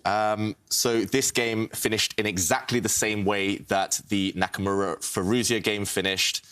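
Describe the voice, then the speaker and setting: A man with a low, forceful voice gives live chess commentary. He talks frankly and conversationally, with a bright edge to his deep tone. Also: fairly even intonation, a little slow, clear, resonant tone.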